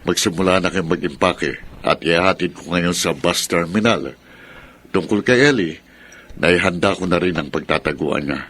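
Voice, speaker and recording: A man's voice: sits low, normal speed, a bit bright. An actor playing a protective, polite and reassuring man who shelters a family in a radio drama. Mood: reassuring, businesslike.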